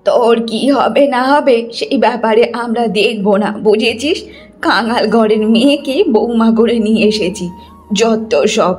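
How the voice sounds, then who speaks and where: A domineering middle-aged mother-in-law acted by a woman in a cartoon moral tale. She scolds with greedy contempt and lays down threats in a high voice, over music. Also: a little slow, darkish tone, lightly acted. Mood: threatening. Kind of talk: cartoon moral story acting.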